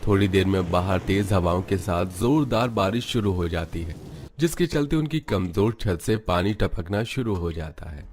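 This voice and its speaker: Deep-voiced male story narrator. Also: normal speed, darkish tone, slight background noise. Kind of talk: scripted dramatic narration of a cartoon story.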